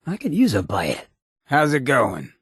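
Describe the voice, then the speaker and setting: An actor playing a swaggering, sardonic ghoul gangster companion in a video game, with a gravelly rasp and a wry, streetwise drawl. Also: male voice, medium pitch, wildly swooping pitch, a little slow, no echo, clean studio sound, full character voice. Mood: easygoing, playful. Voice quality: gravelly, raspy.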